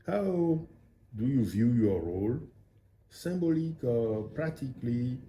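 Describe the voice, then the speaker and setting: Soft-spoken deep-toned interviewer in echoey room. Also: male voice, animated, slight background noise. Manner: soft-spoken, halting, frequent murmured agreement. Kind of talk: interview questions and backchannel.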